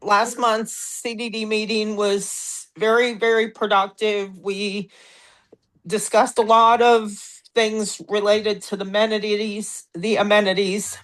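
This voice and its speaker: A woman's voice: medium pitch, little pitch movement, slow pace, fairly bright tone. Woman with a head cold on a phone call. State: head cold, a little froggy. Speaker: amenity center manager. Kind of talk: meeting update. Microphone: phone on a video call.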